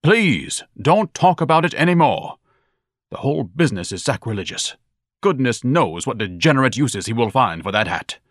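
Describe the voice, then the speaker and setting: A man's voice: on the low side, animated, brisk, fairly bright tone, no room sound, clean studio sound. A man voicing a pompous, overeducated grown son with a grandiloquent, theatrical manner and formal diction. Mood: aggrieved, lofty.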